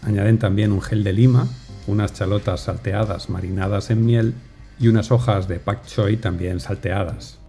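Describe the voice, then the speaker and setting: Mellow-voiced male voice-over narrator. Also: fairly low pitch, steady tone. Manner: measured, unhurried, descriptive. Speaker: food vlogger narrator. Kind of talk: calm scripted voice-over narration. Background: soft music.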